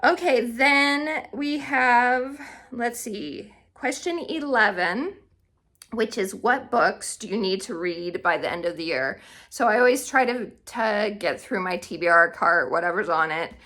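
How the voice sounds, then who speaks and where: A woman's voice: mid-range voice, a little slow, bright, forceful tone. A woman talking casually and enthusiastically to viewers as a book vlogger, in a chatty way.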